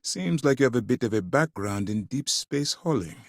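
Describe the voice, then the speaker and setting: A man's voice: mid-range voice, lively intonation, moderate pace, dry recording, studio quality. An actor playing a stern male space navy commander in a video game, speaking in a formal, authoritative way with clipped orders. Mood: measured, authoritative. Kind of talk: scripted game dialogue, dramatic military acting.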